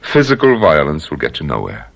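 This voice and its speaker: A very deep-voiced villain from an old radio play. He is a cold, deliberate torturer who stays courteous while he threatens his captives. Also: huge pitch swings, vocal fry, fairly bright tone, a little hiss or hum, full character voice. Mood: smoothly menacing. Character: cold, menacing male torturer demanding a secret formula. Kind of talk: old-time radio drama acting.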